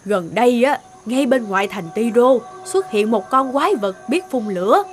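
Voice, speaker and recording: A woman's voice: on the high side, lively intonation, moderate pace, faint music behind, close to an everyday voice. A woman voicing a nosy, worried old peasant woman in a fairy-tale cartoon, folksy and full of warning.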